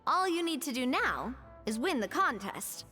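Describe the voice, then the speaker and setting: A woman voicing a confident, sociable young lady in a video game, playful, lively and determined. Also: sits high, moderate pace, exaggerated voice. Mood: encouraging. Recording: game music behind voice.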